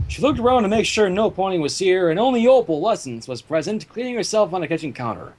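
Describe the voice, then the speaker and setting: A man reading fan fiction aloud as the narrator, in an animated storytelling style. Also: high voice, fast talker, slight background noise.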